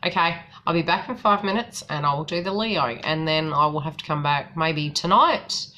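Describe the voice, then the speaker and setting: A woman from New Zealand with a softened New Zealand accent, chatting casually as a psychic tarot reader on a live stream. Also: deep voice, moderate pace, highs cut off. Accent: New Zealand, softened by Australian.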